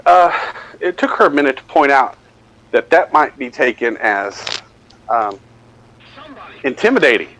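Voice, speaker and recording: A man's voice: medium pitch, lively intonation, a little slow, pauses often, a bit bright, low-quality recording. A middle-aged man telling a rambling, self-deprecating anecdote in a casual way, acting out bits of dialogue. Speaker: storyteller.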